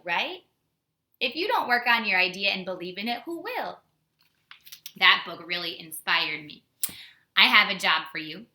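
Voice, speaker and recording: An American woman, a teacher, giving a casual storytime lesson to young children in an animated way with simple wording and rhetorical questions. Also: medium pitch. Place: indoors at home. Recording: roomy, echoey indoor home recording.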